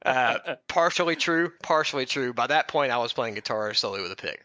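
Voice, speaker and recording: Bright-voiced, irreverent man on a lo-fi mic. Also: medium pitch, animated, a little fast, dry recording. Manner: irreverent, breaks up laughing while reading. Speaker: podcast co-host. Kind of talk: reading a mock biography aloud with joking asides.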